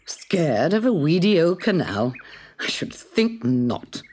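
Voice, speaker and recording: A woman's voice: lowish voice, big pitch swings, takes it slowly, a little mellow, slight background noise, pitched above the performer's own voice. A woman voicing a hearty, fearless, kindly old grandmother in a children's storybook. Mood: hearty, sure.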